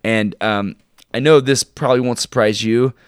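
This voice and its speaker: A man co-hosting a podcast, chatting casually in an informal, halting way and sounding enthusiastic about his favorites. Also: medium pitch, fairly even intonation, clean tone, no echo, studio quality. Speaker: podcast co-host. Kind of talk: casual chat, recommending shows and books.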